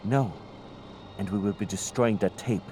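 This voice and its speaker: An actor playing a cold, menacing soldier in an audio drama, speaking quietly and deliberately with sinister intent. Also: male voice, natural voice. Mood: cold defiance. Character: cold, menacing male soldier secretly serving a beast king. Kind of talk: cinematic audio drama acting. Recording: clearly noisy, vehicle rumble behind.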